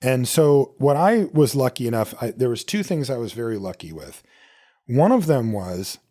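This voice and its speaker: A man's voice: medium pitch, very animated, normal speed, dark tone. A middle-aged American man hosting a podcast, talking casually and conversationally as he answers questions and tells personal stories. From United States. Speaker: podcast host. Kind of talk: casual solo talk answering listener questions.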